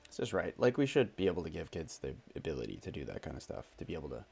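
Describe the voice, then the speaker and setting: A man's voice: very deep voice, racing, vocal fry, slightly rough. A man hosting a casual gaming livestream, chatting loosely and reading out viewer messages. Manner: relaxed, chatty, reads out chat messages. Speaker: streamer host.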